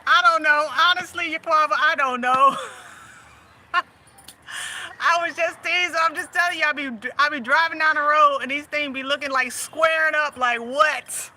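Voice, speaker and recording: A woman's voice: high voice, steady tone, normal speed, sharp tone. A woman with a Southern US accent hosting a casual chat, laughing often and teasing her audience. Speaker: host.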